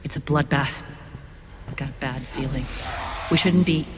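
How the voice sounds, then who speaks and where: A woman playing a guarded, sincere alien resistance leader in a video game, speaking in a measured, reflective way. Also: very deep voice, background noise. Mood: uneasy. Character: earnest, guarded female alien resistance leader, haunted veteran.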